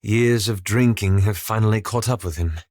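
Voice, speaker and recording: A man playing a courteous, reserved elven wizard in a fantasy game, with formal, articulate and measured delivery. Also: lowish voice, a little mellow, no echo, clean studio sound, natural voice. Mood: reflective, sombre. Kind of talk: scripted fantasy game dialogue.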